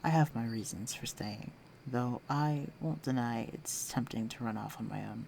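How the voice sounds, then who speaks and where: Man playing a teasing, gravelly flirtatious villain. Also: medium pitch, normal speed, darkish tone, slight background noise, lightly acted. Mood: flirtatious, wry. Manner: teasing, coaxing, breathy murmurs. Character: flirtatious teasing male villain, secretly tender and concerned. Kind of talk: intimate scripted audio roleplay to the listener.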